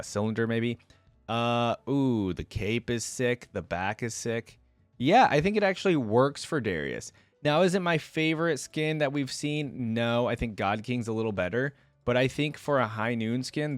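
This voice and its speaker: Casual reaction guy with swooping, lively pitch. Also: no room sound. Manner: slangy, thinks aloud, hedging opinions as he goes. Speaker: reaction video host.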